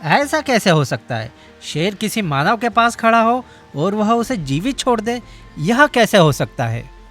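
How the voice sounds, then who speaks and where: A man's voice: high voice, big pitch swings, unhurried, fairly bright tone, faint music behind, exaggerated voice. A man voicing an earnest, dutiful king in a cartoon story. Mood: astonished. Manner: earnest, pleading. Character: brave king, puzzled then repentant. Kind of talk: Hindi cartoon voice acting.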